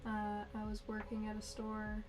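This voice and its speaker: A woman artist chatting with viewers on a livestream as she draws, in a soft, flat, monotone voice. She reads viewer comments aloud in a low-key way. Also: normal speed. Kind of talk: casual livestream chat while drawing.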